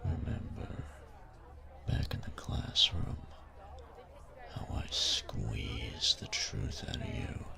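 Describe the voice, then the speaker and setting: Male actor as cocky domineering bully, breathy gravelly half-whisper, very slow menacing delivery, swooping intonation, intimate audio roleplay. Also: low voice, wildly swooping pitch, music in the background, heavily acted. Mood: teasing menace. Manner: slow, teasing, menacing delivery with drawn-out pauses.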